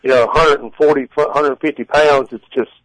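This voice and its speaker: A man in his forties speaking as an interview guest, telling stories casually in halting, folksy phrasing. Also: on the high side, steady tone, a bit bright, no echo, low-quality recording. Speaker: guest, strongman competitor and contest judge. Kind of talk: casual interview answers and storytelling.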